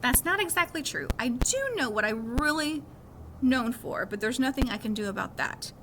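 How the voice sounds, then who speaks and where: A woman's voice: a little high, animated, normal speed. A woman reading a children's novel aloud as a first-person story. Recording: clearly noisy background.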